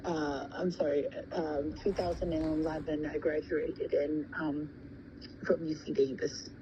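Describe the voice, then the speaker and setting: Low-voiced hesitant woman in her thirties. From born in Thailand, raised in California. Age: 30s. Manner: hesitant, many pauses and ums. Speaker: interviewee. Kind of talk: casual recorded interview. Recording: muffled with steady background noise.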